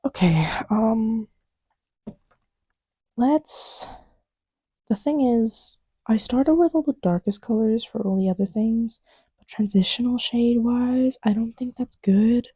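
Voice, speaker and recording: A woman talks in a casual ASMR ramble, as if thinking out loud to herself. Her delivery is unhurried and soft, often slipping toward a whisper. The voice has a mellow, slightly husky, breathy texture. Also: fairly high pitch, no echo. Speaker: audio creator. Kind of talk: casual ASMR-style rambling while testing markers.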